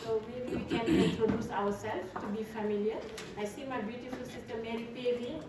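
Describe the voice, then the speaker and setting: A woman's voice: on the high side, steady tone, fairly quick. A woman hosting a community event, greeting and praising guests effusively. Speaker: event host and city commissioner. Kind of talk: welcoming remarks at a community event. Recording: echoey room, distant mic, loud background noise.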